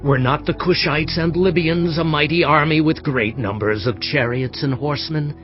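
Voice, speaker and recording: Man playing a stern, higher-voiced biblical seer. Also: normal speed, natural voice. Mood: stern rebuke. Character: stern seer rebuking his king. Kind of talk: dramatized audio Bible acting. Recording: dull lo-fi sound, music behind. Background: music.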